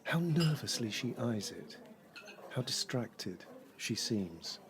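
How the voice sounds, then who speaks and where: An actor playing a knowing, arch storyteller, narrating an audio drama in a theatrical, observing manner. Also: male voice, mid-range voice, big pitch swings, slow pace, a crowd in the background. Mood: arch, confiding. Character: knowing, wry male storyteller setting scenes. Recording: music bed under the narration. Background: music.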